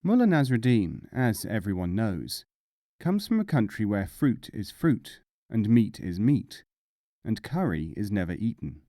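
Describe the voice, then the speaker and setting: A man narrating short tales as an audiobook, with measured, deliberate phrasing and dramatic pauses. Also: lowish voice, sing-song, dark tone, dry recording, clean studio sound. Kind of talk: scripted storytelling narration.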